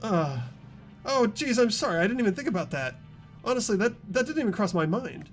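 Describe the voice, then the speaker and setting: A man's voice: high-pitched, sing-song, fairly quick, faint music behind, voice pushed up. A man voicing an earnest, anxious teenage schoolboy with a hesitant, stammering delivery in a fan-voiced game. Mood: flustered. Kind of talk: amateur dub of a visual novel game.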